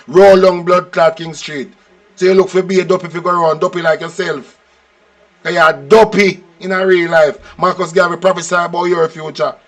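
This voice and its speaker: A man's voice: fairly high pitch, a bit bright. Furious Jamaican man ranting. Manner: furious, profane, taunting tirade. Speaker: reaction commentator. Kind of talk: ranting reaction commentary.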